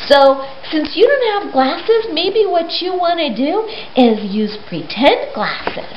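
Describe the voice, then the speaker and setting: A woman's voice: high-pitched, sing-song, takes it slowly. A woman retelling a Bible story to young children, speaking simply and emphatically and putting questions to them. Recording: roomy, dull lo-fi sound with hiss.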